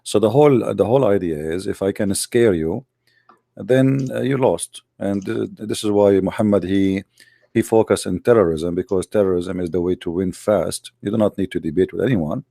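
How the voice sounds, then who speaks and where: A Middle Eastern man with an Arabic accent, a guest on an audio call, talking haltingly with many fillers. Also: fairly low pitch, creaky, dark tone, dry recording, clean studio sound. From Middle East.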